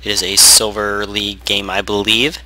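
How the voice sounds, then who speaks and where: A young man doing casual play-by-play commentary on a strategy game, losing his voice from sickness, rambling and correcting himself, clearing his throat often. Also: lowish voice, level tone, moderate pace, bright, forceful tone, low-quality recording. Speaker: game caster.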